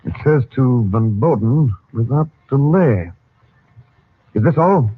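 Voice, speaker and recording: An actor playing a kindly but authoritative uncle and secret investigator, brisk and questioning, in old-time radio drama style. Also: male voice, mid-range voice, animated, slightly rough. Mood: intent, probing. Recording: tinny band-limited old radio recording.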